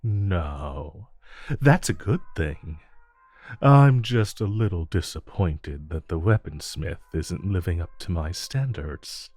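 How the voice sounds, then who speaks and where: A man playing a smug, flirtatious supervillain in a romantic audio roleplay, coaxing and teasing the listener with drawn-out phrasing. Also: low voice, expressive, darkish tone, no echo, soft background music, full character voice. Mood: teasing, wry.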